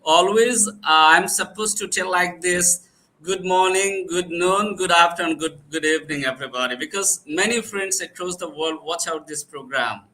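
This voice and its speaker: A man hosting a video interview, his voice bright and pitched a little high. He talks haltingly, full of filler sounds and restarts as he reaches for words. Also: low-quality recording. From Bangladesh. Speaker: host.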